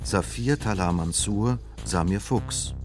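Male radio drama announcer, fairly low voice, scripted credits read over guitar music. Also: slow.

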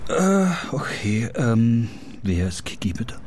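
Mellow patient police officer. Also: male voice, mid-range voice, sing-song, slow, a little hiss or hum. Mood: puzzled, insistent. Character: patient male police officer questioning a child. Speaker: actor. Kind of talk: naturalistic radio play dialogue.

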